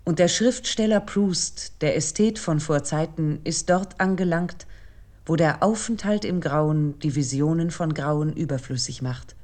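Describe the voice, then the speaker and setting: A woman reading a scripted literary essay in a measured, solemn manner. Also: low voice, dark tone. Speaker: narrator and literary commentator.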